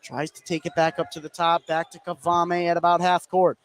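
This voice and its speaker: A man's voice: fairly high pitch, flat delivery, moderate pace. A man doing live play-by-play basketball commentary as a radio announcer. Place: indoor gymnasium, courtside. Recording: clear background noise from a gym.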